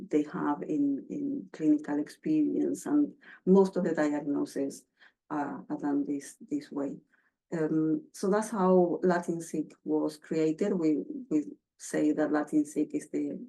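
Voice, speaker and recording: Low-voiced Argentine woman presenting research. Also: dark tone, poor audio. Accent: Argentine Spanish. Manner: halting, many fillers and restarts. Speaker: researcher giving a seminar. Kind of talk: academic presentation.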